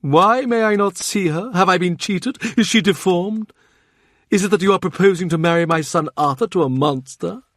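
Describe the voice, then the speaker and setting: A man's voice: high-pitched, normal speed, dry recording, clean studio sound, natural voice. A man voicing an urbane, shrewd older cardinal who reminisces in a genial, confiding way. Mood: animated. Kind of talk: audiobook character reading.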